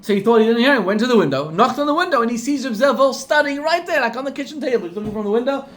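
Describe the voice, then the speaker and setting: A middle-aged rabbi with a South African accent telling a story in a sermon, acting out the people in it and repeating words for emphasis. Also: male voice, very high voice, rapid-fire. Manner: animated storyteller, acts out quoted voices, repeats for emphasis.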